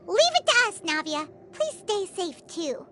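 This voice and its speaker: A woman voicing a tiny, excitable childlike companion in a video game, squeaky and exclaiming. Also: measured pace, background noise, heavily acted. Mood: determined, caring.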